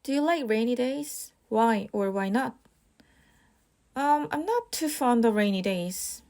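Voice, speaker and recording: Slow, measured young woman. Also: on the high side, lively intonation, a little mellow. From South Korea. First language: Korean. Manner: reads each question, then answers with rehearsed, casual fillers. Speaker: IELTS practice test speaker. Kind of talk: model answers for a speaking exam.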